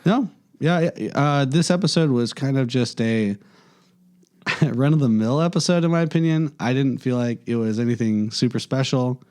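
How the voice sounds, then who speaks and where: A man co-hosting a podcast in casual chat, his voice hoarse and raspy from being worn out. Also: mid-range voice, normal speed, darkish tone. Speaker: podcast co-host.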